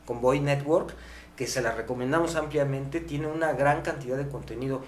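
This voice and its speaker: A Mexican man hosting a podcast, explaining conversationally and haltingly, often tagging his phrases with a questioning word. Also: mid-range voice. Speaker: podcast host. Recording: echoey room, clear background noise, hum.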